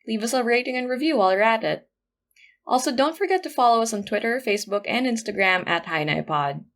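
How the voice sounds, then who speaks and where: Woman doing a podcast outro, reading out credits and announcements. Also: mid-range voice.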